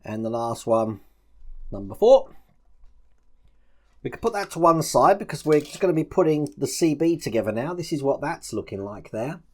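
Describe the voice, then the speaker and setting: A man's voice: a little high, very animated, moderate pace. A man presents a model-building tutorial, informally talking through each step as he works. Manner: informal, narrating actions as he works. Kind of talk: hands-on step-by-step instructional commentary.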